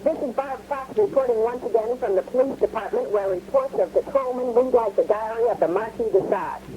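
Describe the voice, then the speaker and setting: An actress playing a field reporter on 1970s radio, giving a formal, dramatically worded report on grim events. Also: female voice, a little high, brisk, lightly acted. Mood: grim, urgent. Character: female field reporter describing horrors in a stricken city. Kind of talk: scripted on-scene radio news report, 1970s. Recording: dull radio feed with crowd noise.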